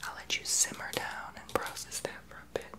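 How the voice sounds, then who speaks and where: A man's voice: normal speed, close to an everyday voice. A young man voicing a sassy, unqualified therapist in a whispered ASMR roleplay. He whispers blunt, sarcastic remarks close to the mic in a small home office. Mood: scolding. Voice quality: soft unvoiced whisper. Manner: blunt, sarcastic, gossipy sass. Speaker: ASMR creator.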